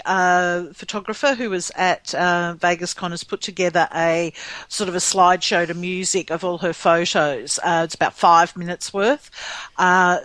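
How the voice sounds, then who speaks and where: A woman's voice: lowish voice, no room sound. A woman co-hosting a podcast in casual chat, talking with frequent ums and uhs and breaking into laughs. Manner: hesitant, many ums and uhs, warm laughter. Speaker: podcast co-host.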